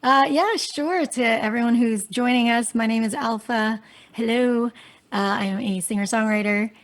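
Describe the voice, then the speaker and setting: A woman's voice: a little high, normal speed. A woman singer-songwriter, born in the Philippines and raised in New Jersey, chatting casually as a guest and laughing often. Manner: laughs often, relaxed and self-deprecating. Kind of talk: casual chat.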